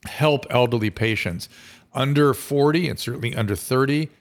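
A middle-aged man hosting a live call-in show as a physician. He talks earnestly and with emphasis, often restarting his sentences. His voice sits at an ordinary middle pitch. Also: moderate pace. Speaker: physician talk show host.

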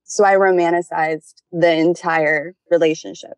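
A woman's voice: on the low side, steady tone, measured pace, dry recording. A young adult woman calling in for advice, giving a casual, conversational account of her personal life. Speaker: caller seeking relationship advice.

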